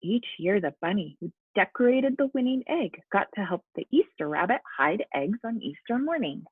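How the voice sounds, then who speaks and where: A woman's voice: medium pitch, big pitch swings, moderate pace, husky, dry recording. A woman teacher speaking encouragingly to young children, as in a lesson and storybook read-aloud, with muffled sound. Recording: muffled, phone-like narrow-band sound.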